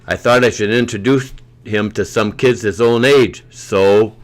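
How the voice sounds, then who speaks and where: A man plays a fatherly superhero mentor with a low voice. He speaks as a grown hero in an amateur audio drama. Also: fairly even intonation, unhurried, lightly acted.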